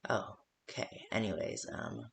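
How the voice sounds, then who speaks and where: A man playing a rambling, hesitant phone guide who briefs a night guard in a game, with a gravelly voice. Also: lowish voice, huge pitch swings, slow, fairly bright tone, a little hiss or hum, full character voice. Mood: casual, hesitant. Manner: hesitant, rambling, full of stops and second thoughts. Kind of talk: improvised-sounding instructional phone call for a game.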